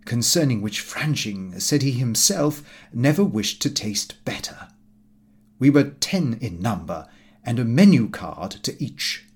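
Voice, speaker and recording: Expressive man narrating, very varied pitch. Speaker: audiobook reader. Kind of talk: scripted first-person narration of a comic diary.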